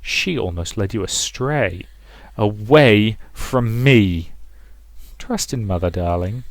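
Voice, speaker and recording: Man voicing a slow, possessive ghostly mother. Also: fairly low pitch, lively intonation, no room sound. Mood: sweetly menacing. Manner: slow, drawn-out, cloying. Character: possessive, sinister ghostly mother crooning to her daughter.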